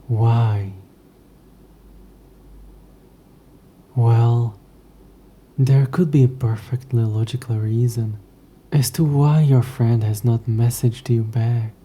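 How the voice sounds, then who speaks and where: An ancient demon, acted by a man, speaks very slowly in a deep-shadowed, husky murmur. He is possessive, sardonic and teasing, with formal, wry phrasing, as in an intimate audio roleplay. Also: fairly even intonation, warm tone. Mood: wry, curious.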